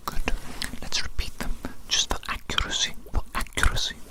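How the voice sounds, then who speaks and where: An ASMR creator whispering through a roleplay hearing test, slow and repetitive, heaping praise on the listener. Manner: doting praise, calls the listener little amoeba. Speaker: ASMR creator playing an examiner.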